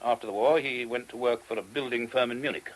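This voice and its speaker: An actor playing a courteous, earnest monastery abbot with a British accent and a hesitant, genteel manner in a radio play. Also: male voice, medium pitch, steady tone, fast talker, lightly acted, poor audio. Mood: earnest, explaining. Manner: hesitant, genteel, old-fashioned English turns of phrase. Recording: old radio drama recording.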